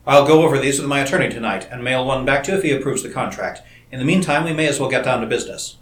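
A man's voice: medium pitch, rapid-fire, close to an everyday voice. A man voicing a cold, scheming male scientist with a clipped, sarcastic manner. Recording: roomy echo.

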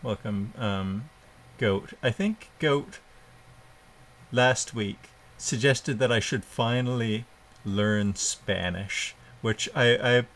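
A man's voice: medium pitch, slow pace, fairly bright tone. A man hosting a live show, chatting casually and poking fun at himself as he welcomes guests. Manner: chatty and self-deprecating, with laughs and filler. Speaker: podcast host.

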